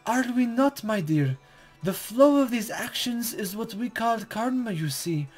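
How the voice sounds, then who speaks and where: A man's voice: very high-pitched, lively intonation, unhurried, soft background music, pitched above the performer's own voice, exaggerated voice. A man voicing a playful, smug female spirit guide who speaks in formal, cryptic, teasing phrases in a visual novel read-aloud. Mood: teasing, instructive. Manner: archaic, formal, teasing, condescending.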